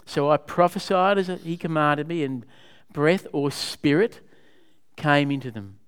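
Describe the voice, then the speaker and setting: A man teaching scripture to a small group, his voice smooth and clear and sitting a little high. He talks conversationally, stopping often to put questions to the room and explain what a passage means. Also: measured pace, a bit bright. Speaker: Bible study leader. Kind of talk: interactive Bible teaching.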